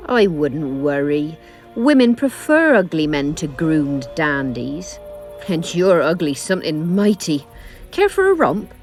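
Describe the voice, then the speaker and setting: Cheeky game tavern wench, lowish, very lively, music behind. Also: female voice, a little slow, exaggerated voice. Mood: teasing. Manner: saucy, blunt, colloquial. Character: bold, teasing, shrewd tavern courtesan.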